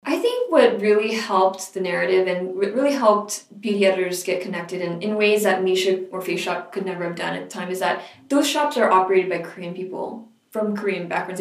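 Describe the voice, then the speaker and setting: American woman founder chatting in an interview, lots of ums, echoey room, mic kinda far off, soft music behind. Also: fairly low pitch. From United States. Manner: conversational, full of fillers. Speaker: beauty company founder. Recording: roomy sound from a distant mic. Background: soft background music.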